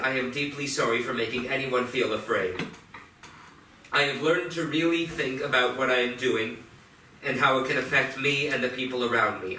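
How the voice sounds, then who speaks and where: Guy playing sorry teen boy in a play, earnest, bright voice, far from the mic in a theatre. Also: medium pitch, lightly acted. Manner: earnest, deliberate reading of an apology. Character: remorseful teenage boy, earnest, owning his mistake. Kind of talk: staged play reading. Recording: echoey theatre, far mic, faint noise.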